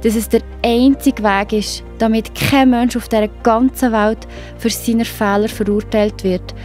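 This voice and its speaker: A woman with a Swiss German accent narrating a children's Bible story, addressing young listeners directly in an expressive storytelling manner. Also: a little high, unhurried, faint music behind.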